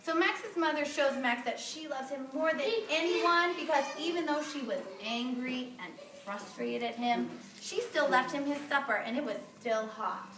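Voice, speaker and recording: A woman teacher reading a picture book aloud to young children, expressive and often prompting them with questions. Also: high voice, moderate pace, hardly any pauses. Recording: distant, echoey noisy classroom.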